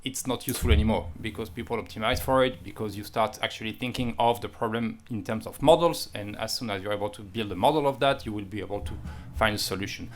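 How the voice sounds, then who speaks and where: Man, panelist, lowish voice, blunt, thinks out loud. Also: fast, few pauses. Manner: frank, thinking aloud with restarts. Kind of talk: panel discussion answer.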